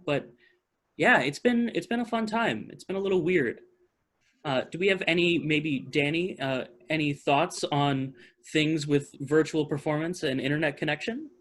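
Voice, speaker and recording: Man casually hosting an online talkback. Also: mid-range voice. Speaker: theater director hosting a post-show talkback. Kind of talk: casual question-and-answer hosting. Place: online video call.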